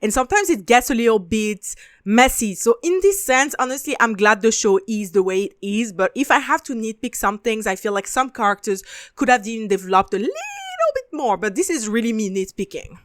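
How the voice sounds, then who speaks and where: Animated young woman reviewing a show. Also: fairly high pitch, a little fast, no room sound, studio quality. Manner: animated, frequent "like" fillers, emphatic repeats. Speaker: video essay host reviewing a show. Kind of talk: casual opinionated commentary.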